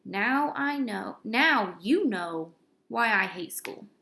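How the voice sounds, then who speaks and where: A woman's voice: medium pitch, expressive, takes it slowly, creaky, sharp tone, full character voice. A woman voicing a grumbling young schoolboy who hates school, complaining emphatically in a children's storybook. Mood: indignant, bored. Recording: roomy, echoey indoor recording.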